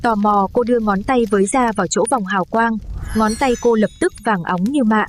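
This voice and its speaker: A woman's voice: medium pitch, normal speed, a little hiss or hum. A woman narrating a scripted fairy tale as a storyteller.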